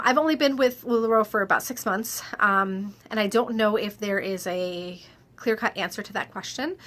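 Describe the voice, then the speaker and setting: A woman with a stuffy, slightly nasal voice, reading out viewer questions and answering them in a chatty video, often pausing with "um". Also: fairly high pitch, bright, forceful tone. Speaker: direct-sales clothing consultant. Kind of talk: casual Q&A vlog.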